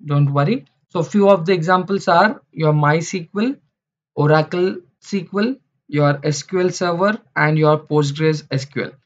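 A man's voice: fairly high pitch, measured pace. Man teaching slides. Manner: repeats phrases, many fillers and restarts. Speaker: instructor. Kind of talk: slide lecture for beginners. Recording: dull lo-fi sound.

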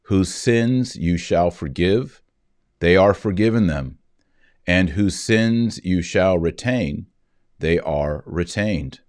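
A man in his forties reading scripture solemnly, with measured, deliberate phrasing. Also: low-pitched, animated, a little mellow, no room sound, clean studio sound. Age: 40s. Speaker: scripture reader. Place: indoor room, seated at a desk.

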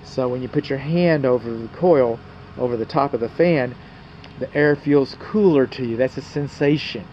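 A man's voice: medium pitch, moderate pace, dark tone. A male home inspector filming a walkthrough outdoors beside a house, explaining what he sees in a folksy, digressive way. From North Texas. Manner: folksy, digressive, talks to the viewer. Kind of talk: walkthrough commentary while filming. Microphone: handheld camera mic. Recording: muffled outdoor camera audio with hum.

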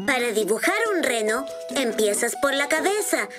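Woman as a little cartoon boy, high voice, cheerful, helpful, instructive, bouncy intonation, music underneath. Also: expressive, normal speed, lightly acted. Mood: explaining. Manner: patient, instructive, enthusiastic. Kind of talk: dubbed children's cartoon acting.

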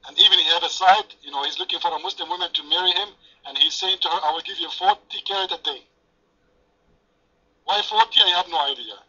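A man's voice: high-pitched, fast, sharp tone. A man whose first language is French, moderating an online debate with sarcastic, taunting remarks. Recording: lo-fi voice chat audio.

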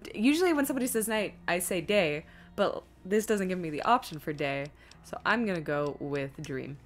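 A woman giving casual live game stream commentary, chatty and thinking out loud as she reads things aloud. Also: on the low side, expressive, a little fast.

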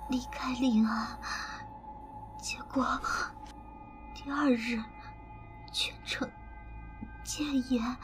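Wounded young fugitive woman voiced by an actress, breathy and faltering, very slow, music behind. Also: high-pitched. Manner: halting, faltering whisper. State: weak and injured, breathy. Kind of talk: audio drama acting.

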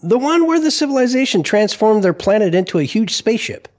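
A man playing a curious, excitable space explorer in an audio drama. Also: high voice, fairly quick. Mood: curious, surprised.

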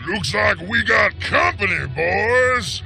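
An actor voicing a rowdy, dim-witted creature outlaw in a western video game, with a gravelly, blustering delivery. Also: male voice, high voice, lively intonation, slow, pushed and bright, heavily acted. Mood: barking orders. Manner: blustering, cartoonish. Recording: music behind the voice.

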